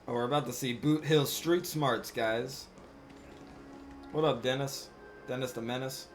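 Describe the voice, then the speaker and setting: A man's voice: medium pitch, steady tone, measured pace, soft background music. A man giving casual, joking livestream commentary on a video game, playfully echoing what he hears. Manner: jokey, echoes game lines. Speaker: game streamer.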